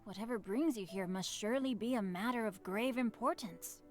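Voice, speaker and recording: A woman's voice: on the high side, normal speed, soft background music, close to an everyday voice. A woman voicing a courteous, bookish teenage boy in a video game, speaking in ornate, formal, poetic phrases. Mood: courteous, measured. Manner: ornate, formal, old-fashioned wording.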